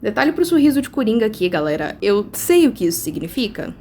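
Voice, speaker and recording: A woman's voice: mid-range voice, sing-song, a little fast, darkish tone. Casual Brazilian woman commentator, faint noise. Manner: informal, playful asides. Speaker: video commentary host.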